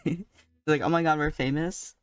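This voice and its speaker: Very low-voiced woman reacting to a game. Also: fairly even intonation, moderate pace, clean tone, distant mic. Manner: laid-back, jokey asides. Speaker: streamer. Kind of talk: casual game reaction commentary.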